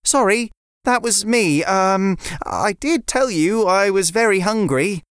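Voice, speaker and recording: A woman's voice: medium pitch, animated, slow, clear, resonant tone, no echo, studio quality, pitched above the performer's own voice. A woman voicing a sensible young boy who is earnest and a little flustered.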